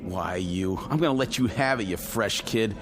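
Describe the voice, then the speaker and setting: An actor playing a wisecracking test pilot with an uneasy streak in a radio drama, colloquial in delivery. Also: male voice, lowish voice, moderate pace, over music, natural voice. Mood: boisterous, teasing.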